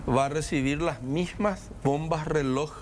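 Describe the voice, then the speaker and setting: A man with a non-native Spanish accent talks politics in a measured, lecturing way, stacking points into lists. His voice sits a little high. A faint noise runs under the recording. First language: not Spanish. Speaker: guest commentator. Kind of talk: political discussion.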